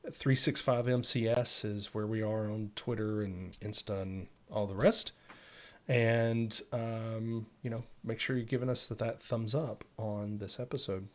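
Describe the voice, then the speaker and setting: Man co-hosting a casual tech show. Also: medium pitch. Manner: conversational, addresses listeners directly. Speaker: co-host of a tech update show. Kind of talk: casual live show talk, explaining software updates.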